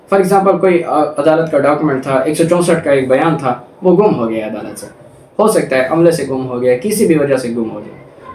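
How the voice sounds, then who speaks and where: A man's voice: a little high, darkish tone. A man with a Pakistani accent giving an explanatory law lecture, mixing in English terms as he goes through clauses and examples. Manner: reads English clauses slowly, then explains with examples, code-switching. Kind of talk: explanatory lecture, reading statutes then explaining. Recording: echoey room with clear background noise.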